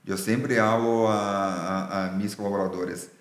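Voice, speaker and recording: A man's voice: on the low side, steady tone, a little slow. A man from Brazil, a native Portuguese speaker with a Brazilian accent, talking earnestly and explaining things in a conversational interview. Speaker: business executive, podcast guest. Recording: roomy echo.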